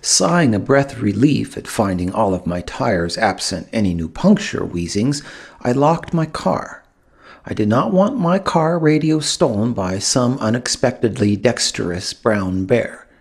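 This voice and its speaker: A man narrating a first-person memoir as an audiobook, telling the story wryly with dramatic pauses. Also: medium pitch, creaky, husky. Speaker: author reading own audiobook.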